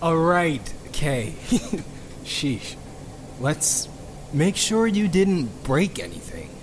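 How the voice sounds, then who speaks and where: Gruff, slow-talking, exasperated scientist. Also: female voice, deep voice, very animated, a little hiss or hum, exaggerated voice. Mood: weary, caring. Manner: sarcastic, sighing. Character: gruff, foul-mouthed, exasperated but caring physicist. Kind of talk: audio drama acting.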